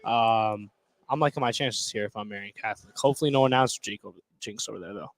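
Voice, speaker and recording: A man's voice: lowish voice, fast. An American man giving casual live analysis as a basketball color commentator.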